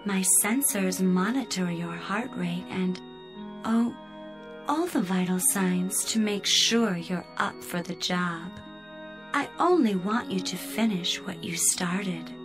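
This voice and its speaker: A woman playing a devoted, sultry spaceship computer in a radio drama, breathy, coaxing and intimate. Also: on the low side, expressive, slow, music in the background. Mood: seductive, soothing. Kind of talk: dramatic radio play acting.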